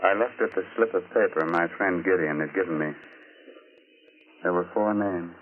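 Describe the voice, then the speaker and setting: An actor playing a macabre horror host and narrator, theatrical and tongue-in-cheek, in 1940s radio style. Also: male voice, low voice, moderate pace, close to an everyday voice. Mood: eerie storytelling. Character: macabre, sardonic horror host telling his ghostly tale. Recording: tinny 1940s radio recording with music.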